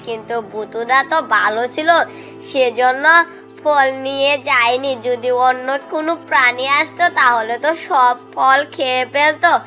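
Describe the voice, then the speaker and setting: A woman's voice: high-pitched, pushed and bright, pitched above the performer's own voice, poor audio. A woman voicing a talkative, easygoing jackal in a children's animal cartoon, chatty and matter-of-fact.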